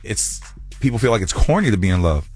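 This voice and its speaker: A man's voice: on the low side, very animated, quick pace, darkish tone. A man hosting a radio interview in a studio, joking and bantering with his guest. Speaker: radio DJ host.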